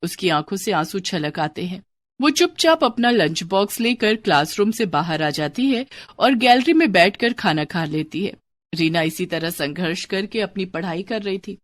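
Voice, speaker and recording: A woman's voice: medium pitch, dry recording. A woman storyteller reading scripted narration of a moral bedtime story.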